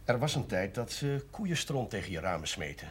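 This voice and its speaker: An actor playing a genial, authoritative parish priest, paternal and persuasive, in a 1970s Dutch television drama. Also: male voice, fairly low pitch, sing-song, moderate pace, husky. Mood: firm, persuasive.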